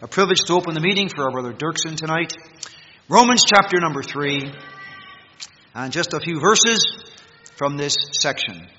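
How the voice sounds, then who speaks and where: An American man preaching a sermon in a church meeting hall, reading scripture and stressing words emphatically, swinging between loud peaks and quiet drops. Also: fairly high pitch, expressive, moderate pace, pauses often, a little hiss or hum. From United States. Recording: echoey hall, dull lo-fi sound.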